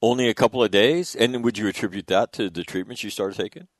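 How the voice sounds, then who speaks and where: Deep-voiced male interviewer, relaxed and friendly, joking asides; dry, close recording. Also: fast, no room sound. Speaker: interview host. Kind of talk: casual interview.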